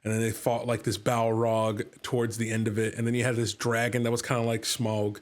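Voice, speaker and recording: A man's voice: mid-range voice, flat delivery, fairly quick. A man hosting a casual podcast, talking enthusiastically in a rambling way with lots of fillers and repeated words. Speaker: podcast host and game master.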